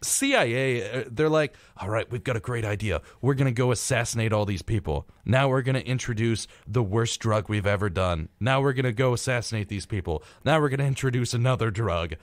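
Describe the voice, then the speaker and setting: A man's voice: mid-range voice, a little fast, few pauses, dry recording. A man co-hosting a casual live radio show, reading through a rundown and tossing in flippant, irreverent asides. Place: radio studio.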